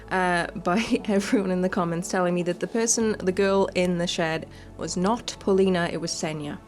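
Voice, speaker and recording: Female gaming host, slightly low voice, chatty and playful, informal let's-play delivery and read-alouds, light music underneath. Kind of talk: casual let's-play commentary and reading game text aloud. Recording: music playing behind the voice. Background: background music.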